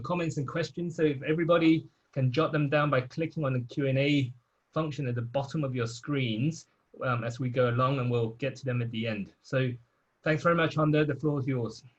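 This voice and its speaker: A man's voice: mid-range voice, fairly quick, highs cut off. A man in his thirties or forties hosting a webinar informally over a video call from a room at home. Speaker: webinar host.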